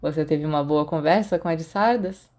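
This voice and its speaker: A Brazilian woman voicing a cheeky, teasing teenage boy wizard in a story, playful and quick to protest. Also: low voice, a little mellow, close to an everyday voice.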